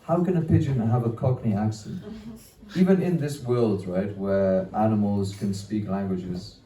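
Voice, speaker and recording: Guy doing loose stand-up, mellow low voice, echoey room heard from far off. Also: unhurried, a little hiss or hum. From Wales. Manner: self-deprecating, trails off into laughs, swears a lot. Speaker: stand-up comedian. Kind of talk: stand-up set, loose and rambling. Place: small comedy venue. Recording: echoey room, mic picked up from afar.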